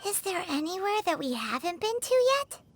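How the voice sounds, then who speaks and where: A woman voicing a tiny childlike fairy companion in a video game, squeaky and perky, with music behind her. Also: big pitch swings, moderate pace, slight background noise. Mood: matter-of-fact. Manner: perky, speaks of herself in third person. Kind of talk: video game character acting.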